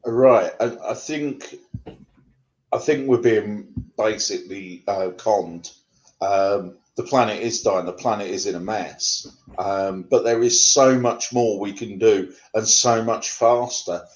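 Middle-aged English poet, rhythmic rhyming delivery, home video call. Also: male voice, lowish voice, takes it slowly, dull audio. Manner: rhythmic rhyming recitation, then conversational with fillers. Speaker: poet guest. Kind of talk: poetry reading then interview answers. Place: home room.

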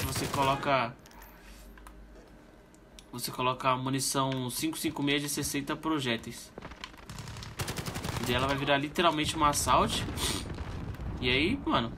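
A man's voice: fairly high pitch, moderate pace, long pauses, background noise. A young Brazilian man with a stuffy, nasal voice from a cold, chatting casually to viewers while commenting on his gameplay. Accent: Brazilian Portuguese. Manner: relaxed slangy chatter, talks to viewers. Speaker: gaming channel host. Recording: loud game gunfire over the voice.

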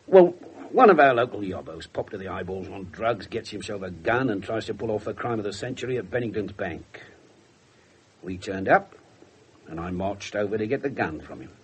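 An English actor playing a blunt, sardonic, wisecracking police inspector in a radio drama. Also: male voice, fairly low pitch, expressive, moderate pace, lightly acted. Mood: wry, wisecracking. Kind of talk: 1970s radio drama acting. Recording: noisy 1970s radio broadcast recording.

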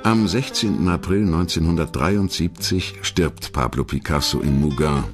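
A man with an extremely low voice narrates a documentary from a script. His delivery is measured and formal, like a storyteller presenting history, with music playing faintly behind him. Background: background music.

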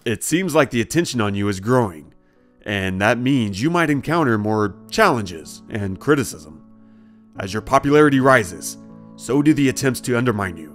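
An actor playing a serious, protective husband and powerful boss in an audio drama, speaking in a measured, earnest way. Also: male voice, medium pitch, animated, soft background music, close to an everyday voice. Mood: serious advice.

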